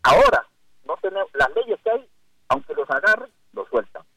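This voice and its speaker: A man's voice: fairly high pitch, very animated, normal speed, bright, forceful tone, breathy edge, dry recording. A man with a Costa Rican accent calling in to a radio show by phone, talking in an emphatic, rambling way. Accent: Costa Rican Spanish. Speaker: caller. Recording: phone line call-in.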